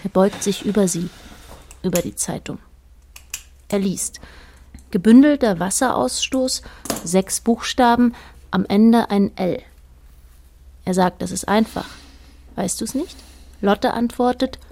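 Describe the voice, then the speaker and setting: A woman narrating a radio play as a detached observer, in a measured, matter-of-fact way. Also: mid-range voice, creaky, mellow. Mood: detached, observant. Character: detached female narrator observing a married couple.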